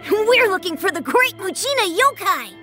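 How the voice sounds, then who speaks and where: A woman voicing an excitable, adventurous little child in a video game, with an eager, exclaiming way of talking. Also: extremely high pitch, normal speed, music in the background. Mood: excited. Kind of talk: animated video game dialogue.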